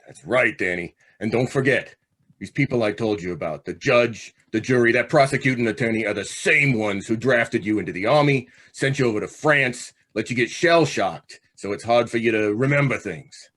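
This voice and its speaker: An actor playing a vengeful, manipulative convict on death row in an old-time radio drama, talking in tough street slang. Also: male voice, medium pitch, normal speed, a bit bright, lightly acted. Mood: bitter, commanding. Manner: tough, slangy, clipped commands. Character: hard, scheming condemned gangster, vengeful manipulative older brother.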